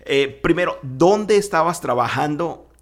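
A man hosting a radio talk show, introducing his guest in a relaxed, conversational way with occasional fillers. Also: on the high side, unhurried. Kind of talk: casual radio interview. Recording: intro over music.

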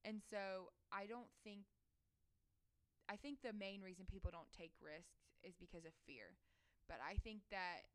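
A woman's voice: on the low side, smooth, no room sound. A woman in her twenties hosting a solo podcast, talking casually and earnestly in an encouraging, emphatic, conversational monologue. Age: 20s.

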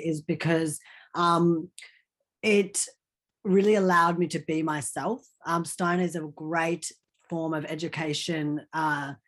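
A young man, a podcast guest chatting over a video call and telling his life story, with lots of ums and restarts. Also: on the high side. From Australia. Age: 20s. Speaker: podcast guest, content creator. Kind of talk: casual storytelling interview.

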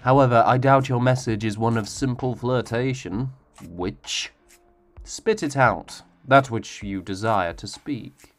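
A man's voice: medium pitch, measured pace, soft background music, lightly acted. An actor playing a noble young king in a fantasy audio drama, speaking in formal, archaic, dramatic phrases. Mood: stern, guarded. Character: noble young male fantasy king, devoted, desperate lover.

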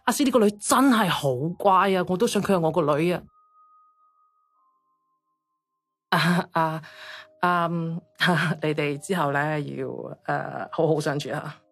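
A woman's voice: sits low, moderate pace, hardly any pauses. A woman acting a vain, chatty, nagging middle-aged mother in a radio drama, with a Hong Kong Cantonese accent. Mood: fond, awkward.

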